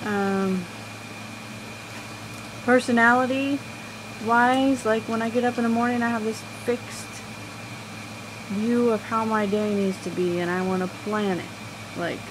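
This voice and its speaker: A woman with a quiet voice talking casually about astrology, rambling and halting with many fillers. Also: fairly high pitch, steady tone, noisy background. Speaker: astrology vlogger. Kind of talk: casual personal talk.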